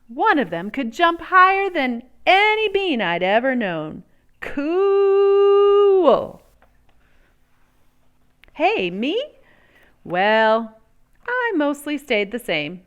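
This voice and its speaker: Lively, high-voiced woman reading a storybook. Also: smooth. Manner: theatrical, with drawn-out words and emphatic pauses. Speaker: storyteller reading a children's picture book aloud. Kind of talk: expressive read-aloud narration for children.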